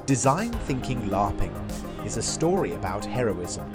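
A man's voice: medium pitch, sing-song, moderate pace, lightly acted. A man playing a tongue-in-cheek announcer introducing a play in a theatrical manner. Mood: mock-solemn. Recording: loud music behind.

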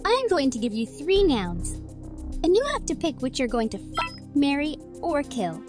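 A woman's voice: high voice, big pitch swings, normal speed. A woman playing a playful quizmaster in a comic skit, cheeky and emphatic. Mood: cheeky, teasing. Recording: music behind.

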